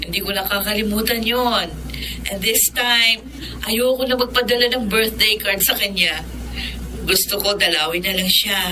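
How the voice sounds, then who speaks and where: A woman's voice: medium pitch, moderate pace, lightly acted. An actress playing a cheerful, teasing young woman in a Filipino radio drama. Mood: playful. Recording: clear ambient noise behind.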